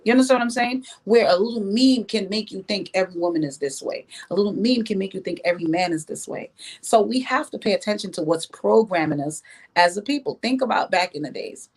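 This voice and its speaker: A woman's voice: mid-range voice, animated, brisk. A woman from Jamaica giving opinions in a podcast discussion, speaking emphatically and repeating phrases to drive them home. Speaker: podcast guest.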